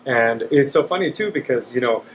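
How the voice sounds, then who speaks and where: Man, young adult, opera singer, podcast guest, eager, rambling, medium pitch. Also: expressive, brisk, a little hiss or hum. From Dallas, Texas. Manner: enthusiastic, rambling, frequent fillers. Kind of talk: casual interview chat.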